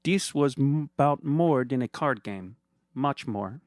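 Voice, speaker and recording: A man's voice: medium pitch, animated, a little slow, a little mellow, no echo, clean studio sound, pitched above the performer's own voice, lightly acted. A man doing a smug, purring villain. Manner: theatrical, purring, condescending. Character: smug villainous male hand model. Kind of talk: comedic storytelling voice.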